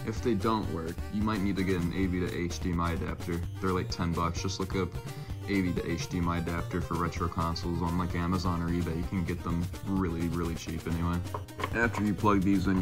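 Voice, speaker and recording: Young male tutorial host, raspy and low-pitched, blunt and laid-back, with music playing behind him. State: just woken up. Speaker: retro gaming YouTuber. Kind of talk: casual how-to tutorial.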